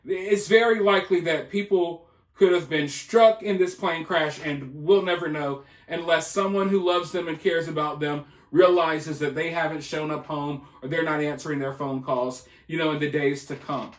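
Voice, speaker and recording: High-pitched man commenting in an echoey room. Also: steady tone, moderate pace. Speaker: video channel commentator. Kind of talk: casual news commentary. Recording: roomy, echoing voice.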